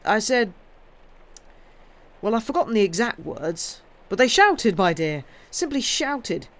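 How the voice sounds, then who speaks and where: A reader voicing a jovial, blustering middle-aged husband with a loud, hearty manner. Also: mid-range voice, very animated, unhurried, voice pushed up. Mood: boastful.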